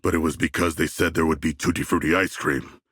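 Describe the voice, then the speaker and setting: Huge proud shark brute for a game, super formal talker, raspy voice, really dry close sound. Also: male voice, a bass voice, level tone, brisk, a bit bright, no echo, exaggerated voice. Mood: formal, thoughtful. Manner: grandiose, formal diction with precise, elevated wording. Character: hulking humanoid shark warrior, proud, erudite, bloodthirsty yet courteous. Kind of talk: video game character voice lines.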